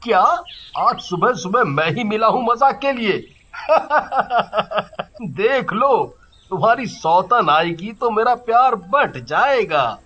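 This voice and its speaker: A man's voice: high voice, sing-song, takes it slowly, sharp tone, heavily acted. A man voicing a devoted, indignant husband in a cartoon family drama, with music behind him.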